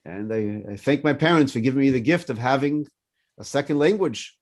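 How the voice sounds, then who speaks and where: A man's voice: mid-range voice, a little fast. A man in his fifties whose first language is French, leading a morning class with personal stories and questions put to his listeners. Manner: animated teaching with personal stories and repeated questions. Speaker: rabbi.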